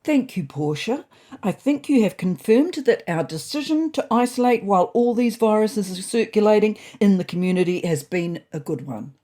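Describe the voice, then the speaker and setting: A woman's voice: medium pitch, expressive, moderate pace, mellow, voice pushed up, natural voice. Woman voicing a prim, cautious group leader. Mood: prim, earnest.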